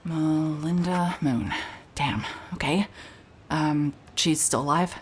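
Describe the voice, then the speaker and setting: A hard-boiled female private investigator in a noir audio drama. Her voice sits low, with a dry, sardonic, irritable edge. Clear ambient noise runs behind her. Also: takes it slowly. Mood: probing, guarded. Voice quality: low-pitched voice. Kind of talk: cinematic audio drama, noir narration and naturalistic dialogue.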